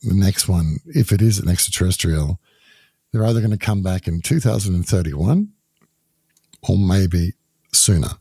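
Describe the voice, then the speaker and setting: Croaky, gravelly low-voiced man. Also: lively intonation, mellow, no echo. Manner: measured, down-to-earth, urges sticking to facts. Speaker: podcast guest. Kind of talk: casual podcast conversation.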